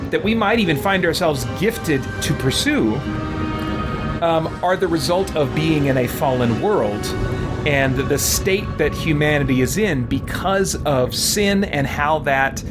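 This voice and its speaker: A man's voice: on the high side, expressive, measured pace. A man hosting a gaming channel, giving casual, reflective commentary in a thoughtful, hedging, discursive way. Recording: loud music behind the voice. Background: loud game music.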